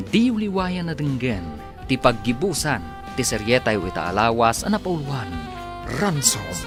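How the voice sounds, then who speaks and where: A man with an Ilocano accent announcing a radio drama in a formal, measured way. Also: medium pitch.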